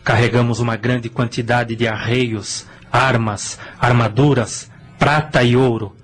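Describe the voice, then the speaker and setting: A man's voice: medium pitch, fairly even intonation, a little slow, lightly acted. A man playing a victorious ancient officer, giving a formal military report in a radio drama. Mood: triumphant, formal.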